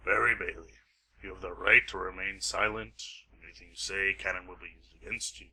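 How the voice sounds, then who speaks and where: A man's voice: sits low, steady tone, a little fast, pushed and bright, full character voice, poor audio. A man playing a stern police officer in an amateur radio play, reciting in a formal, procedural way. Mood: stern, official. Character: stern male police officer making an arrest.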